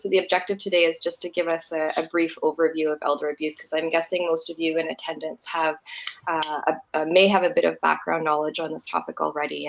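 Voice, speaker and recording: A soft-spoken woman giving an earnest, thoughtful webinar presentation as a human rights educator over a video call. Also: lowish voice. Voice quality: quiet voice.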